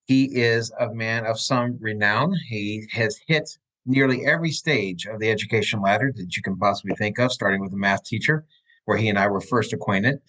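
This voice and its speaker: A man's voice: fairly low pitch, moderate pace, a bit bright, low-quality recording. A man hosting a casual podcast over a video call, telling joking, rambling stories. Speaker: podcast host. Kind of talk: casual chat. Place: room at home.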